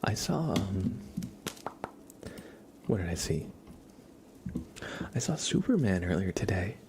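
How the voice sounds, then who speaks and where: A man hosting an ASMR livestream, chatting casually and playfully in a soft voice that often slips into whispers. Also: sits low, big pitch swings, takes it slowly, a little hiss or hum. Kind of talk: ASMR, casual chat to viewers and a pet cat.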